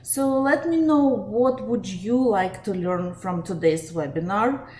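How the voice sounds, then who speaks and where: A woman's voice: medium pitch, takes it slowly, a little mellow. A woman with a Russian accent, a life coach hosting a live webinar, talking steadily and earnestly with frequent fillers. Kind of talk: live webinar talk to viewers. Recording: echoey room, faint ambient noise.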